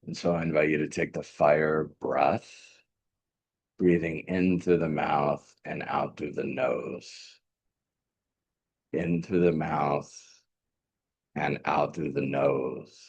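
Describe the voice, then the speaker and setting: A man leading a live guided meditation as a teacher, speaking gently and deliberately, repeating phrases and leaving long pauses. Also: extremely low pitch, fairly even intonation, slightly rough. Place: live online video meetup.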